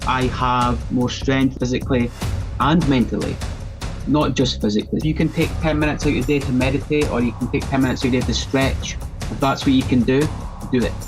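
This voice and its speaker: A man's voice: medium pitch, fairly even intonation, fairly quick, music in the background. A man from northeast Scotland with a Scottish accent, explaining things conversationally as a podcast guest and fitness trainer.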